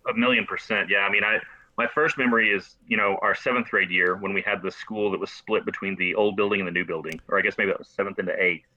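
A quick-talking American man in his forties with a bright, forceful edge to a lowish voice. He chats casually and agreeably as a guest, recorded dry and slightly dull. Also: fairly even intonation, dry recording. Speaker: podcast guest, entrepreneur. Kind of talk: casual chat.

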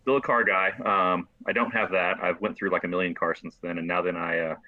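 A man's voice: deep voice, flat delivery, brisk, no room sound. An American man in his forties chatting casually and agreeably as a podcast guest, on dull, band-limited audio. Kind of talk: casual chat.

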